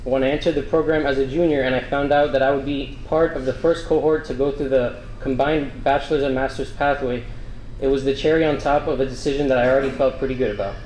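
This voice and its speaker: An earnest young man delivers a prepared, grateful speech at a podium in a large banquet room. His voice sits at an ordinary pitch and carries a roomy echo, with faint ambience behind it. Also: fairly even intonation, quick pace, darkish tone, a little hiss or hum. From Miami, Florida. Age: young adult. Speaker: scholarship student speaker. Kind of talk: prepared speech at a breakfast event. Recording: echoey hall, faint ambience.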